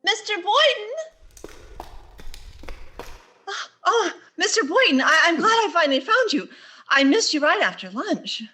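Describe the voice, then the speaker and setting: Woman playing a bright, wry schoolteacher. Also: very high-pitched, big pitch swings, slight background noise, exaggerated voice. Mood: flustered, urgent. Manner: wry, theatrical, quick with wisecracks. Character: wry, flustered female high school English teacher. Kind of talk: 1940s radio sitcom acting on stage.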